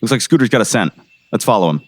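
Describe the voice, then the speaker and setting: A man playing a folksy, earnest small-town sheriff in a comic audio drama, animated and urgent. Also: on the low side, fast, dry recording. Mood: focused.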